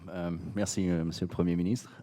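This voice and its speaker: A man's voice: deep voice, little pitch movement, fairly quick, dark tone. A man from France, head of a cognac firm, speaking hesitantly on a conference panel with many fillers and repeated words. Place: conference hall.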